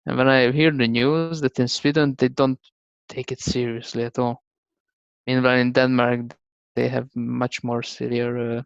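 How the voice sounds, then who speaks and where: Creaky-voiced man with a Hungarian accent. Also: mid-range voice, muffled recording. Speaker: podcast guest. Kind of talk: casual conversational interview.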